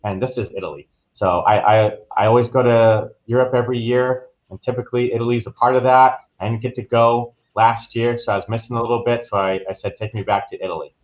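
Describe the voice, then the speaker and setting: A man's voice: medium pitch, fairly even intonation, fast talker, few pauses, muffled recording. A man speaking as a guest travel professional in a casual interview, explaining things informally.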